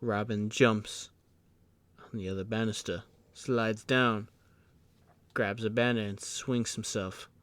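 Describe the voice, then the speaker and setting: A man narrating an audio drama as scripted action, theatrical and dramatic in a present-tense play-by-play. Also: smooth. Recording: noisy, vehicle rumble and ambience behind.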